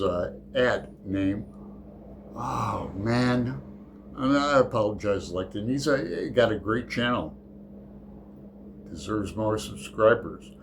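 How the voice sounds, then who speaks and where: A man's voice: fairly low pitch, a little hiss or hum. A middle-aged man hosting a casual book vlog, talking in a rambling, digressive way with fillers and self-corrections. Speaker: book channel host.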